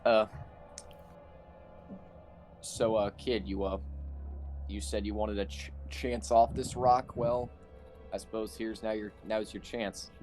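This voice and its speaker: A man playing a tabletop roleplaying game, talking casually and a little haltingly in character. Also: on the low side, little pitch movement, a little mellow. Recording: faint music behind the voice.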